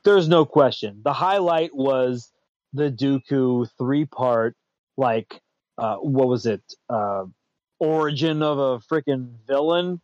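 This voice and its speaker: A man's voice: mid-range voice, takes it slowly, a little mellow, no echo. A man hosting a casual pop-culture chat, rambling, restarting and repeating his words and chuckling as he goes. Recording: dull lo-fi dry sound.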